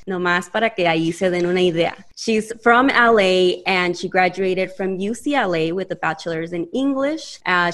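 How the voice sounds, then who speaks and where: A woman hosting a podcast, upbeat, dropping Spanish phrases into her English. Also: mid-range voice. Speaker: podcast host. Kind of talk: scripted podcast intro and interview.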